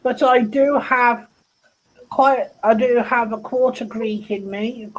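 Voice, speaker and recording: A woman's voice: on the high side, fairly even intonation, slow pace, slight background noise, poor audio. A woman chatting casually, telling personal anecdotes and laughing along, heard over a video call mic. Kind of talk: casual chat.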